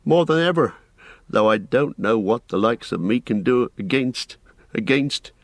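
A man's voice: medium pitch, animated, normal speed, no echo, voice pushed up. A man voicing a fussy, kindly, rustic innkeeper who chatters and exclaims in an audiobook. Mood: anxious, earnest. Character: fussy, flustered, kindly fat male innkeeper. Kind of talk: audiobook character voice.

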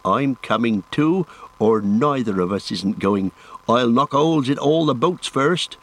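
A man's voice: mid-range voice, expressive, measured pace. A man voicing a loyal, stubborn and emotional young hobbit manservant for an audiobook, with earnest, rustic, ungrammatical speech. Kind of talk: audiobook character voice.

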